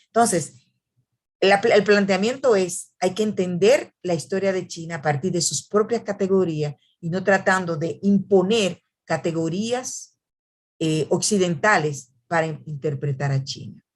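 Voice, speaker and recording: A woman's voice: lowish voice, moderate pace. Hesitant woman presenting her research. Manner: hesitant, many fillers and restarts. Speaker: book author presenting research. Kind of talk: academic book presentation talk.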